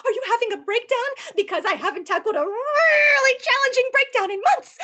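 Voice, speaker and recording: A bubbly, theatrical woman therapist who chirps at a very high pitch, as a woman acts her out. Her voice soars and dips constantly, giddy and easily distracted, straight from a comedic stage reading. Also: expressive, fairly quick, pushed and bright, exaggerated voice. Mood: eager. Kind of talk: livestream script reading.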